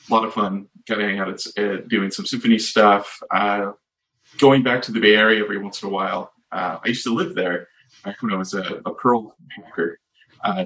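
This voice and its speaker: Podcast co-host, male, deep but bright timbre, meandering conversational delivery. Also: poor audio. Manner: rambling, many fillers and restarts. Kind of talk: casual chat.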